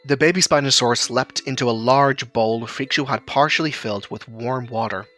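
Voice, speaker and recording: A man's voice: medium pitch, a little fast, loud music behind the voice. A man reading scripted narration for an audio drama as its storyteller.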